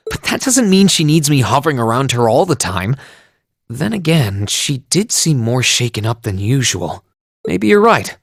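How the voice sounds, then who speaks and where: An actor playing an earnest, kind teenage hero in an anime game dub, sincere and considerate in manner. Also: male voice, mid-range voice, big pitch swings, moderate pace, gravelly, no room sound, studio quality. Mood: thoughtful.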